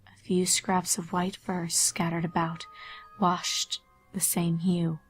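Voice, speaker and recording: A woman narrates a scary story in a fairly low voice. Her delivery is dramatic and scripted, kept within an even, narrow range. Faint music sits behind her. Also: slow pace, breathy edge.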